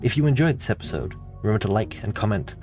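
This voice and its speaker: A man's voice: fairly low pitch, animated, fast, darkish tone. A man reading scripted closing credits as the announcer of an audio show. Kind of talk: scripted narration. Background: plucked guitar music.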